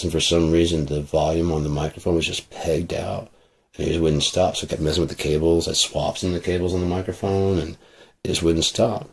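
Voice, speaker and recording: A man's voice: sits low, vocal fry, a little mellow, slightly rough. A man talking casually on a livestream, rambling and swearing freely, into a processed studio microphone.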